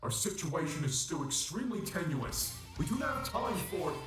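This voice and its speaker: A woman's voice: deep voice, big pitch swings, normal speed, recorded from a distance. A woman voicing a formal, dutiful combat robot in a comic dub, stiff and clipped, with echo and music. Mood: urgent. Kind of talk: animated comic dub acting. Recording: echoey, loud music behind.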